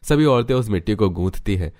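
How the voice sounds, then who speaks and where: A man's voice: on the low side, expressive, fairly quick, darkish tone, no echo. A man narrating a scripted cartoon story as its storyteller.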